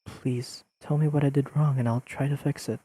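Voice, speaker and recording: A man playing an earnest, awkwardly analytical young best friend in an intimate audio roleplay, speaking haltingly and carefully to the listener. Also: medium pitch, steady tone, husky, no echo, lightly acted. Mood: worried, pleading. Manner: halting, careful, softly pleading, slightly formal wording.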